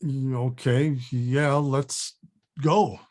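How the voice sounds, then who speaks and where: A man playing a casual, sceptical, needling sidekick in a script table read, with a husky voice. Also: medium pitch, steady tone, drawn-out words, a little mellow. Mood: casual, unsure.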